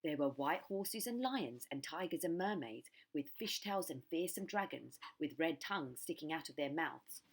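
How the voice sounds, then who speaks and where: A woman's voice: sits low, animated, normal speed, hardly any pauses. A woman reading a children's story aloud as a primary school storyteller.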